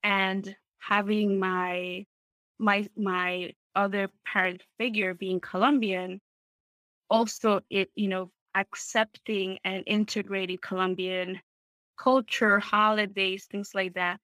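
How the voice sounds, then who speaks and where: A woman speaks at a middling pitch. She shares personal stories in a conversational, thoughtful way, open and inclined to seek agreement as she goes. The sound is dry, with hardly any room in it. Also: level tone, slow pace, bright, forceful tone, no room sound. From Peru, small town. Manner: reflective, open, often seeks agreement with tags.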